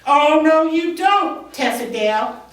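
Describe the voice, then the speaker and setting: A woman's voice: high-pitched, lively intonation, slow. A woman at a table read playing an angry, grabby female shopper, in an echoey room far from the microphone. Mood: indignant. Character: angry, grabby female shopper who turns into whinnying pony. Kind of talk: amateur screenplay table read.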